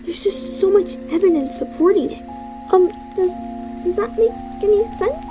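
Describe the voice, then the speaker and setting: A woman voicing a nervous female monster scientist in a fan comic dub, timid and halting with a stammer. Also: over music. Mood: anxious, explaining. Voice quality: very high-pitched, girlish.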